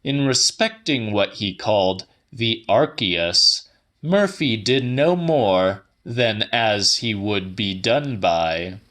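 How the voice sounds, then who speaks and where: Male narrator, dramatic literary reading, low-pitched, widely varied intonation, theatrical phrasing. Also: expressive, takes it slowly, a bit bright. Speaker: audiobook narrator.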